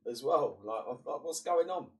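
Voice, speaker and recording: A man's voice: fairly high pitch, lively intonation, normal speed, a little mellow, far from the mic. A young man with a blocked-up, fading voice, chatting casually as he streams a football management game and mutters between bursts. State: congested, voice partly gone. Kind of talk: casual gaming commentary.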